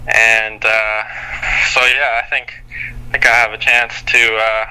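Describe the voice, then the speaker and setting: A man's voice: on the low side, level tone, pushed and bright, clear, resonant tone, rough recording. A young Canadian man, a basketball player, giving interview answers full of fillers and restarts. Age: young adult. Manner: halting, many fillers and restarts.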